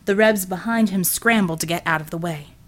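A woman's voice: lowish voice, a little fast. A woman reading a scripted action story as a dramatic audiobook narrator.

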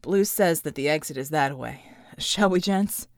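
A woman voicing weary, gruff male soldiers in battle, speaking tersely in an audiobook. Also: low-pitched, normal speed, dry recording, clean studio sound, pitched below the performer's own voice. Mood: weary, grim.

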